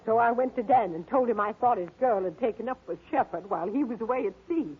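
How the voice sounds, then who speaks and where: Very raspy, scheming older villainess. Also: female voice, a little high, fast talker. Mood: bitter, confessing. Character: scheming, bitter older woman crook. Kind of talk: 1940s American radio drama acting. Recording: tinny, band-limited old radio recording.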